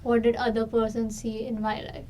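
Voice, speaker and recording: A woman's voice: on the high side, level tone, moderate pace, warm tone. A woman in a casual discussion, reasoning aloud and asking questions, recorded at some distance. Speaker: participant in an unscripted discussion. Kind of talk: casual chat. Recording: distant mic with background noise.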